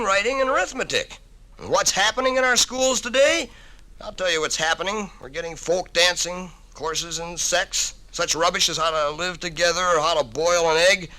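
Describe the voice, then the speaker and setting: Casting: indignant old-fashioned American man, piercingly bright and high, blustering, 1950s radio style. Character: indignant old-fashioned man railing against modern schooling.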